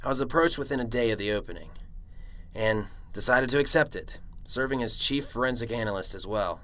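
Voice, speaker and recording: A man's voice: medium pitch, a little fast, pushed and bright, close to an everyday voice. Man acting a dry, cynical, world-weary detective. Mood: wry, weary. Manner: dry, sardonic. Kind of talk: amateur radio drama acting and first-person narration.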